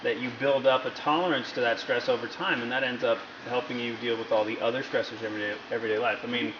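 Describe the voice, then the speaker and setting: A man's voice: medium pitch, fairly even intonation, fast, muffled recording. Echoey male interviewer in a noisy room. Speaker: interviewer, fitness trainer. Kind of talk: casual interview questions. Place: gym.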